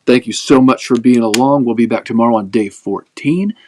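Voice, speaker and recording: A man reading scripture aloud as a narrative, with measured, expressive phrasing, as host of a daily reading. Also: mid-range voice, a little hiss or hum.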